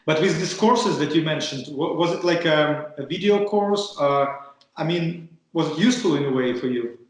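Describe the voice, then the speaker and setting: Host, male, Russian accent, fairly high voice, stop-start phrasing, very echoey room. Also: moderate pace. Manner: hesitant, repeats words while searching for phrasing. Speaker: podcast host. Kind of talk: interviewing a guest over a call.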